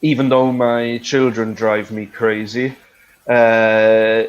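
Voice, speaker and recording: A man's voice: fairly low pitch, flat delivery, takes it slowly, highs cut off. A middle-aged man hosting a conversational online English lesson, phrasing things hesitantly with tag questions. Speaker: English teacher, webinar host.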